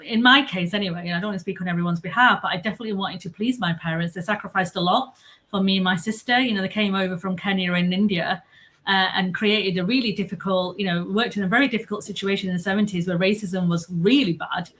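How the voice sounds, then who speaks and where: A woman's voice: medium pitch, fast, a bit bright, slight background noise. A woman moderating a panel discussion, earnest and encouraging as she speaks to the founders.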